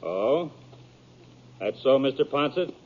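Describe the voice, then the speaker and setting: A man's voice: mid-range voice, very animated, slow. A man acting a plain-spoken, suspicious posse guard with rustic American speech in a 1950s radio western. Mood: obliging. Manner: rustic, folksy, challenging. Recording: tinny, narrow, hissy old radio recording.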